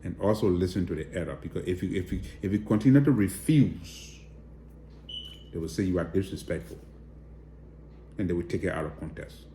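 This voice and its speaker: A man's voice: sits low, animated, a little fast, pauses often, mellow, reverberant, a little hiss or hum, low-quality recording. A man with a Liberian accent giving direct, emphatic political commentary and appealing to viewers. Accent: Liberian English.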